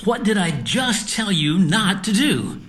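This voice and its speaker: An actor playing a stern, exasperated institute boss in an audio drama, scolding emphatically. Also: male voice, sits high, sing-song, a little slow, fairly bright tone, heavily acted. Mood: angry, exasperated.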